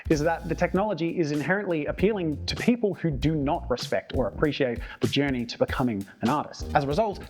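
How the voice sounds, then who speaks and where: A man's voice: on the high side, darkish tone. A man delivering scripted, argumentative video essay narration in an articulate, emphatic manner.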